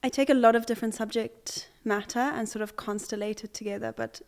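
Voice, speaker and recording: A young woman from Zimbabwe, an artist, answering interview questions in a relaxed way with many pauses, fillers and restarts. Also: a little high. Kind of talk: conversational interview.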